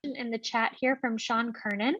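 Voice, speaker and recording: A woman's voice: on the high side, fairly even intonation, moderate pace, fairly bright tone, no room sound. A woman moderating a press conference, introducing questioners and reading out their questions. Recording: dull, lo-fi online call.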